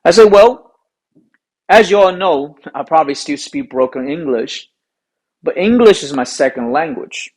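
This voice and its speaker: Golf coach, male, Chinese accent, fairly high voice, earnest and repetitive delivery. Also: lively intonation, measured pace, rough recording. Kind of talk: instructional talk to camera.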